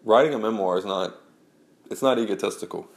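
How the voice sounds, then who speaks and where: Blogger, male, deep and gravelly, nasal head-cold congestion, loose rambling delivery in a roomy space. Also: a little fast. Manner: rambling, many restarts and "like"s. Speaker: blogger and memoir writer. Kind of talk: casual spoken audio blog.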